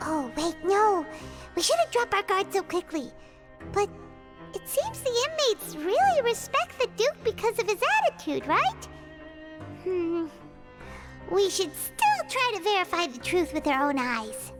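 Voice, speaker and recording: A woman voicing a small, childlike fairy companion in a video game, chirpy, wary and excitable. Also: very high-pitched, measured pace, over loud music, heavily acted. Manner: excitable, exaggerated, expressive.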